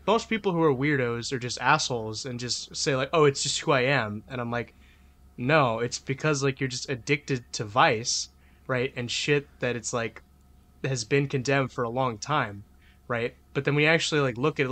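A man in a casual podcast debate who rambles through his point, with filler words stacked up between the ideas. He argues emphatically and swears freely. His voice sits at an ordinary pitch for a man. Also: a bit bright. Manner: argumentative, emphatic. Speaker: podcast co-host.

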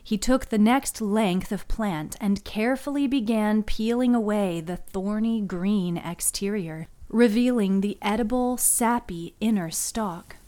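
A woman narrates scripted fiction as a podcast host. She takes her time with each phrase, at an average female pitch. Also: a little slow, hardly any pauses, dark tone.

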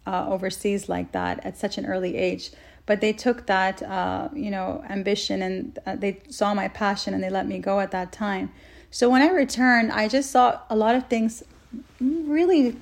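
Creaky-voiced woman in an interview. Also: medium pitch, a little mellow. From Saudi Arabia. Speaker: interview guest, health researcher. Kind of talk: conversational interview answers.

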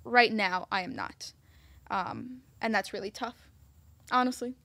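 A woman from Egypt co-hosting a casual talk show, speaking warmly but hesitantly, often restarting her sentences. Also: mid-range voice, sing-song, unhurried, no room sound. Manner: warm, hesitant, self-interrupting. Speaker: co-host. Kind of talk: casual chat.

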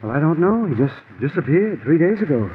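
An actor playing a stern, righteous farmer, formal and guarded, in 1950s radio drama style. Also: male voice, on the high side, very animated, fairly quick. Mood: shocked, protesting. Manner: indignant, formal, defensive. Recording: tinny, noisy old radio broadcast.

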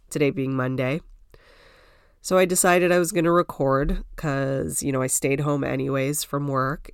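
A woman's voice: extremely low pitch, vocal fry, clean tone, dry recording, clean studio sound. A woman hosting a solo podcast from home, congested from a cold, chatting in a rambling way with lots of "like" and "you know". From Canada. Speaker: podcast host. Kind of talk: casual solo podcast chat.

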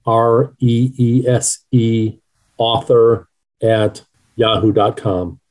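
A man's voice: medium pitch, level tone, slow pace, a little mellow. A middle-aged man speaking as an author being interviewed, answering in a conversational way.

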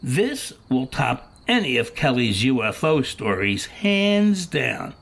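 A man's voice: medium pitch, big pitch swings, slow, creaky, fairly bright tone, husky, exaggerated voice. An actor playing an amazed, joking male party guest in an audio drama, speaking emphatically.

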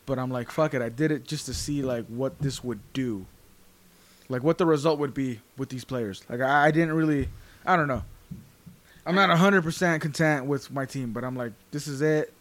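A man's voice: mid-range voice, moderate pace, fairly bright tone. A young man co-hosting a podcast, talking casually in a rambling, hesitant way and swearing often. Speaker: podcast co-host. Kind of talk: casual chat.

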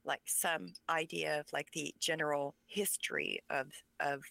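A woman's voice: sits low, unhurried, creaky, bright, forceful tone, no room sound. A woman whose first language is Pennsylvania Dutch, talking as an author guest in an interview, speaking passionately and with emphasis. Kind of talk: conversational interview.

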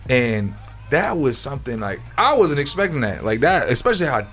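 A man from South Georgia, a rapper talking casually in an interview, rambling and relaxed. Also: medium pitch, a little fast, loud music behind the voice. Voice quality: raspy at times. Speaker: rapper guest.

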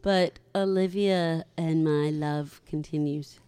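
A woman's voice: deep voice, steady tone, slow pace, dark tone, smooth. A woman in her early forties from Richmond, Virginia, co-hosting a podcast with laughing, teasing banter. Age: 40s. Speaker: podcast co-host. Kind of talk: casual chat.

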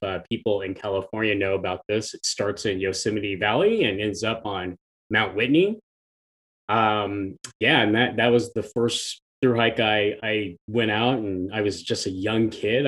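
A man in his forties, born in Thailand and raised in the US, telling his story as an interview guest in an easygoing, unhurried way from his home over a remote call. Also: on the low side, fairly bright tone, rough recording. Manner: easygoing, unhurried, chuckles lightly. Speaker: guest, long-distance hiker. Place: at home, apartment or house.

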